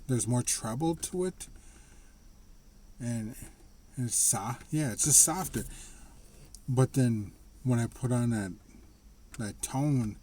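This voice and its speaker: A man talking casually to the camera in a slightly raspy voice, rambling and restarting his sentences. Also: mid-range voice, warm tone, slight background noise. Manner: rambling, halting, with frequent restarts and laughs. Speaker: vlogger. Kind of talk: casual personal monologue.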